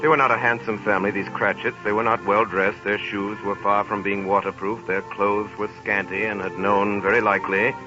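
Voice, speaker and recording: A man's voice: lowish voice, level tone, a little fast, hardly any pauses. A man narrating a classic tale in a 1930s radio broadcast, in a rich, dramatic storytelling manner. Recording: dull 1930s radio broadcast with loud music.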